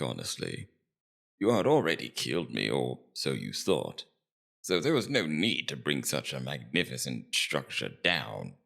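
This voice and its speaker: A man playing a scheming, immortal Demon King in an ASMR roleplay, taunting and persuasive, with theatrical, deliberate emphasis. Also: a bass voice, gravelly, fairly bright tone, heavily acted. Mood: smug, wry. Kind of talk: ASMR roleplay, scripted villain monologue.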